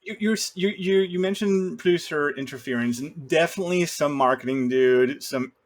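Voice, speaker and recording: A man in a casual film discussion, talking bluntly and griping in an animated way with mild swearing. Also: on the high side, moderate pace. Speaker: podcast co-host.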